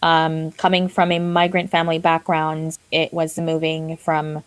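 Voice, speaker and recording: A woman from Texas, a guest in casual panel talk, measured and reflective. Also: low voice, completely flat delivery, clean tone, dry recording. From born in Texas, grew up moving across the US South.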